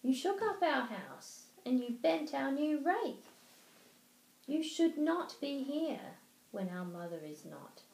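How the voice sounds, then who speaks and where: A woman's voice: sits high, big pitch swings, slow, creaky, darkish tone, exaggerated voice. An Australian woman voicing a fussy, indignant male pet fish from a storybook, scolding with emphatic delivery. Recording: roomy home recording, faint noise.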